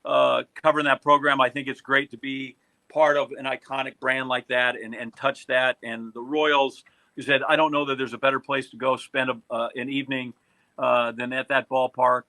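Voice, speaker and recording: A man's voice: mid-range voice, level tone, moderate pace, bright, forceful tone. An American man hosting a casual sports podcast in a joking, teasing manner. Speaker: podcast host.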